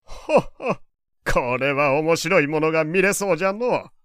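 A wealthy elderly gentleman in an audio drama. His fairly high voice rises and falls freely as he speaks in grand, archaic phrases with a teasing courtesy. The sound is close and dry. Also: expressive, normal speed, clean tone, no room sound. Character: elderly male wealthy patron, genteel, teasing, old-fashioned. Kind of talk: Japanese audio drama acting.

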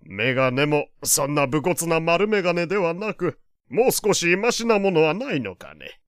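A wealthy elderly gentleman in an audio drama. His fairly high voice rises and falls freely as he speaks in grand, archaic phrases with a teasing courtesy. The sound is close and dry. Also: big pitch swings, moderate pace, no echo. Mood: scolding. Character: elderly male wealthy patron, genteel, teasing, old-fashioned. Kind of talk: Japanese audio drama acting.

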